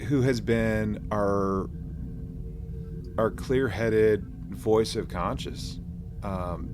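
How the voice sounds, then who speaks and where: A man co-hosting a podcast in casual chat, halting as he pieces his thoughts together with many pauses. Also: fairly low pitch, fairly even intonation, over music. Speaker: podcast co-host.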